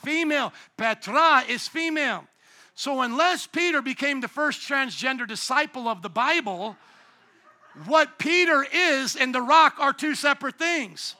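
A man's voice: very high voice, unhurried, bright, forceful tone. A man preaching a sermon as pastor in a church, emphatic and playful, calling on the congregation to repeat words back to him. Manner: emphatic, calls for congregation responses; playful, jokes at himself. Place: church sanctuary.

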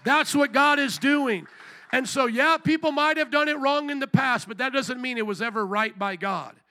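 Male pastor, high bright voice, preaching that swells into loud calls to the congregation. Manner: rises to loud shouted emphasis. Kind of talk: sermon. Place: church service.

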